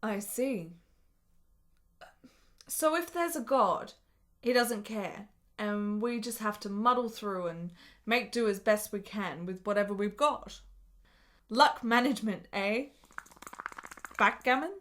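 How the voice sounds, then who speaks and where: An actress playing an ailing, lonely, sharp-witted woman of the 1870s in a radio drama, speaking in measured, literate, wry phrases. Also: mid-range voice. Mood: thoughtful. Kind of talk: period radio drama acting.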